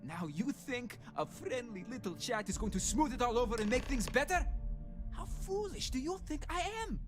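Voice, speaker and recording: A man's voice: extremely high pitch, lively intonation, normal speed, over music. An actor playing a proud, bitter, middle-aged father, haughty and theatrical, in a science fiction video game. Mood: angry, indignant. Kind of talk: video game character dialogue.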